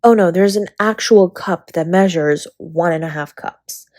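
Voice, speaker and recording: A woman playing a flirty, affectionate dragon baker spouse in a romantic roleplay audio, with a breathy, husky, teasing delivery. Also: lowish voice, measured pace, darkish tone, dry recording, studio quality, natural voice. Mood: playful, instructive. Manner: teasing, intimate, often slipping into breathy whispers.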